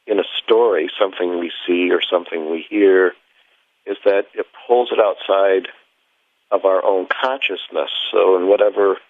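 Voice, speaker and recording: Male guest, low voice, thin phone-line sound, thoughtful and halting explanations. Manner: halting, thoughtful phrasing in short pieces. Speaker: interview guest. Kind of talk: reflective conversational explanation. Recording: thin, narrow telephone line.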